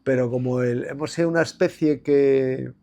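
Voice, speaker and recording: Older madrid guy, gravelly low voice, thinks out loud and rambles, loads of fillers. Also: steady tone, moderate pace. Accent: Madrid Spanish. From Spain. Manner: reflective, rambling with many fillers and restarts. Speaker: guest, former basketball player. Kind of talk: relaxed conversational interview.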